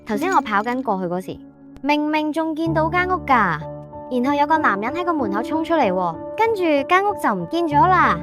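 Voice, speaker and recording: A woman playing an excitable, stubborn young girl cousin in a ghost story, with an animated, insistent delivery. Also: high-pitched, fairly quick, music in the background. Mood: shaken. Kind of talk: Cantonese ghost-story dramatization.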